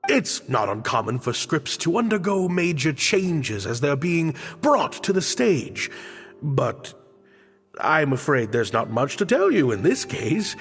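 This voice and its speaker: Game role: high-strung theatre director, highish swooping voice, grandiose phrasing, long reverb. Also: male voice, a bit bright, heavily acted. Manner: grandiose, emphatic, florid phrasing. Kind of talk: dramatic game voice acting. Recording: reverberant studio voice with music. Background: background music.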